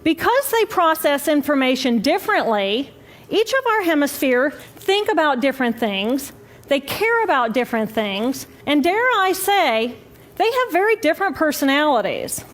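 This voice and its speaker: Woman lecturer, high animated voice, measured explaining tone. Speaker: speaker. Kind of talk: public lecture.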